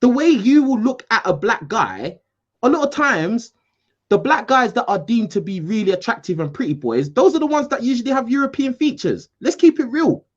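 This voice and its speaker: A man from the UK, hosting a call-in show in a casual, animated debate, repeating himself for emphasis. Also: very high voice, normal speed, highs cut off. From United Kingdom. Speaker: host.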